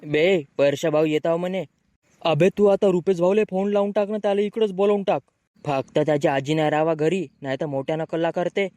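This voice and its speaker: A man's voice: fairly high pitch, brisk, warm tone, no echo, natural voice. A man voicing a brash, grumbling young village man with a rural Marathi accent, bickering in slang in a comic cartoon. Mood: grumbling banter.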